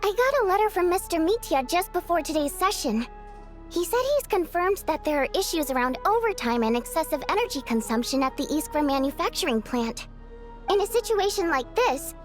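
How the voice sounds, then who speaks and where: Very high-voiced earnest young heroine. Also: female voice. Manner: measured, explanatory. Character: bright, youthful female companion with an earnest, thoughtful temper. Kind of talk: scripted game story dialogue.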